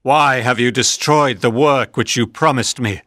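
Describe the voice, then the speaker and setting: A man reading a storybook aloud, voicing a bitter, lonely male creature who speaks slowly with pleading and threats. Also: lowish voice, bright, forceful tone, no echo, studio quality. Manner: slow, pleading turning to threatening. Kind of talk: audiobook reading.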